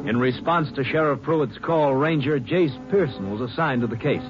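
A male announcer giving formal, measured scripted narration for a 1950s radio drama. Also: medium pitch, close to an everyday voice. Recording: dull lo-fi old radio recording with music.

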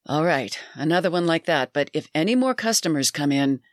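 A narrator voicing a calm, cautious male bartender in a dry recording. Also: medium pitch, fairly quick, no echo, close to an everyday voice. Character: weary, careful male bartender.

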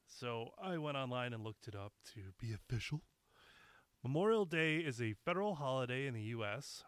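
A man hosting a solo podcast, his voice raspy as he gets over being sick, talking bluntly and with a lot of swearing. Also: medium pitch, no echo. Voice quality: raspy from recent illness. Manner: blunt, profane, impassioned. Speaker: podcast host.

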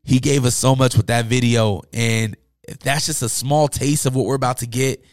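A man's voice: mid-range voice, steady tone, normal speed, no room sound, studio quality. A man hosting a casual music podcast, talking in an informal, slangy, enthusiastic way, with his voice worn from a long day of talking. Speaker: podcast host. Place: indoors, upstairs room.

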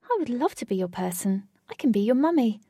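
A woman's voice: on the high side, big pitch swings, fairly quick, warm tone, husky, no room sound, studio quality, heavily acted. A woman voicing a kind, doting young female adventurer in a storybook, tender and coaxing. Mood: affectionate. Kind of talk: storybook character voice.

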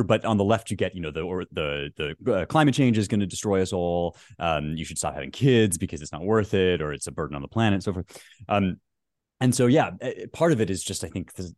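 Man, very low voice, dry close sound, thoughtful academic, halting with fillers. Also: a little mellow, no room sound. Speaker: author and guest. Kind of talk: academic interview talk.

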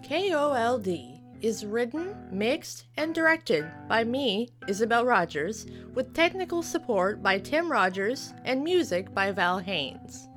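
A woman's voice: on the high side, a bit bright. A woman reading scripted credits for an audio drama as its writer and director.

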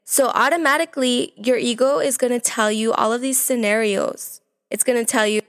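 Chatty young woman with a slight rasp. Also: on the high side, fairly even intonation, moderate pace, a bit bright. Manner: chatty, frequent "like" fillers. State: slightly raspy from allergies. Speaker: podcast host. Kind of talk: casual self-help podcast monologue.

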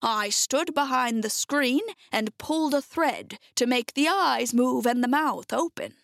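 A woman's voice: sits high, expressive, measured pace, vocal fry, a bit bright, no echo, clean studio sound, heavily acted. Woman voicing a meek little old humbug wizard. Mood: confiding. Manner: meek, pleading, avuncular. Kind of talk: storybook character reading.

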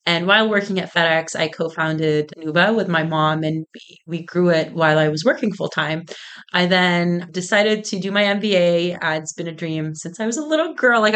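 A woman around thirty from Egypt speaks as a podcast guest in a slightly low voice. She tells her story casually, dropping in informal fillers and quoted asides. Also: fairly bright tone. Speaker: interview guest, business founder.

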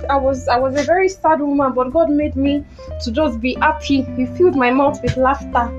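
Casting: actress as a grateful, once-mocked Nigerian mother, high voice, fervent and declamatory, for a podcast play with music underneath. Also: fairly even intonation, moderate pace, darkish tone, dull audio, close to an everyday voice. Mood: grateful, joyful. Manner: emphatic, declamatory, repeats phrases. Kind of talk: podcast audio drama acting.

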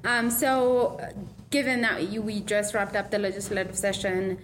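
A woman's voice: medium pitch, normal speed, a little hiss or hum. Upbeat woman interviewer, reverberant room. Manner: upbeat, encouraging. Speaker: interviewer at a retreat. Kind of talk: casual short interviews. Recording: long reverb with clear music behind.

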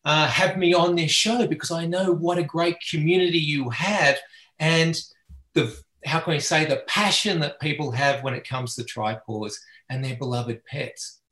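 A middle-aged Australian man speaks as a veterinarian guest, earnest and passionate, now and then restarting a phrase. His voice sits a little high. The recording has a noticeable room echo. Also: normal speed, a bit bright. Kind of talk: interview answers. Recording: echoey room.

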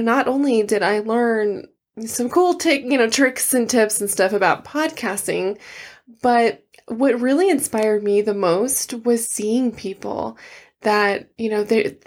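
A woman hosting her own podcast, talking in an earnest, heartfelt way, with a soft voice and many "you know"s. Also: a little high. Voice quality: soft voice that is not loud. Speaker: podcast host. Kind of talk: casual solo podcast talk.

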